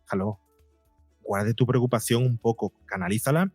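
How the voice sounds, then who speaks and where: A man's voice: mid-range voice, moderate pace, a little mellow, slightly rough, dry recording, studio quality, faint music behind. A man from explains as an interview guest, didactically, posing rhetorical questions and speaking conversationally. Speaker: psychologist and podcaster, interview guest.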